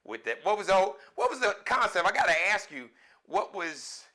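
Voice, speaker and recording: A man's voice: high-pitched, very animated, normal speed, bright, forceful tone. A man hosting an interview, chatting in a conversational, affirming way and asking the guest questions. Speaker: radio show host. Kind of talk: interview host chat.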